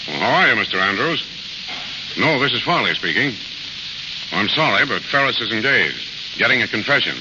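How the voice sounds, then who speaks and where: An American actor playing a smug, bossy private detective in a 1930s radio drama, speaking gruffly and briskly. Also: male voice, mid-range voice, natural voice. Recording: muffled, hissy old radio recording.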